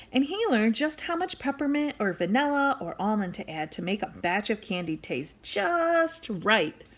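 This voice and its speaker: A woman reading a children's book aloud as an expressive storyteller, speaking directly to young listeners. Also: medium pitch. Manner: animated, addresses young listeners with questions and asides.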